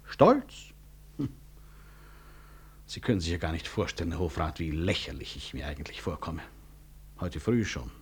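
An actor playing a weary, proud physician-professor in a 1960s German radio drama, husky, reflective and articulate, with wry self-mockery. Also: male voice, low-pitched, big pitch swings, slight background noise. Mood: embarrassed, exasperated. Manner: reflective, articulate, deliberate stage delivery.

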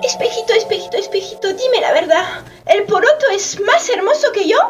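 Vain jealous queen, extremely high-pitched, imperious, radio play, loud music behind. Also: expressive, brisk. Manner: imperious, self-adoring, demanding. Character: vain, greedy, jealous queen who is Sugar personified. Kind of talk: theatrical radio drama acting.

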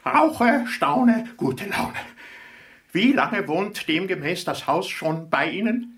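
A man's voice: high-pitched, big pitch swings, a bit bright, far from the mic, full character voice. An actor in a German radio play voicing a pompous, easily flustered property company chairman who speaks officiously and hesitates. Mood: flustered, exasperated. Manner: pompous, officious, hesitating with filled pauses, increasingly exasperated. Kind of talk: 1980s German radio drama acting.

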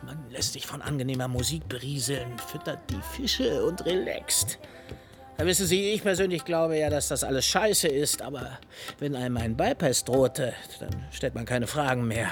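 An actor in a radio drama playing a self-important, foul-mouthed film director, excitable and prone to stammering. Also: male voice, mid-range voice, moderate pace, natural voice. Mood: nervous chatty. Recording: music behind the voice.

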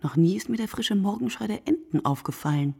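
A woman playing a weary, sleepless night thinker in a literary radio play, speaking in measured, contemplative fragments with a hushed tone. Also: mid-range voice, lively intonation, vocal fry, husky, no echo, clean studio sound. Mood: tired, musing. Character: weary, sleepless woman narrating her night-watch thoughts.

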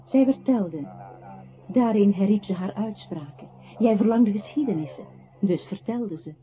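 An actress playing a solemn, quietly accusing narrator in a radio drama, with a husky voice and deliberate literary phrasing. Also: female voice, medium pitch, lightly acted. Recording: muffled phone-like old radio recording.

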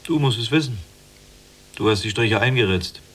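Actor as a young prisoner, clipped phrases. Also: male voice, lowish voice, normal speed, a bit bright, slight background noise, natural voice. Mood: pressing. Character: restless young male prisoner, pressing and watchful. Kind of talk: literary radio play dialogue.

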